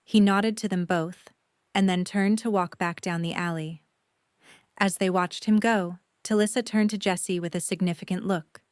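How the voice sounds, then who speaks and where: A woman's voice: medium pitch, steady tone, moderate pace, a little mellow, clear, resonant tone, no echo, clean studio sound. A woman reading scripted third-person story narration for an audio drama.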